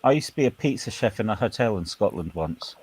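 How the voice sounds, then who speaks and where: A man's voice: on the low side, lively intonation, quick pace, darkish tone, breathy edge. A British man on an online panel, chatting casually and bluntly, calling people "mate". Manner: blunt, says "mate" a lot.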